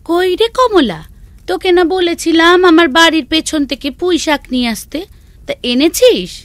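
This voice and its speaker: A woman playing a generous, homely farmer's wife in a children's cartoon, with a warm, bustling manner. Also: extremely high pitch, expressive, moderate pace, dry recording. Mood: brisk, caring. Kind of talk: Bengali children's cartoon voice acting.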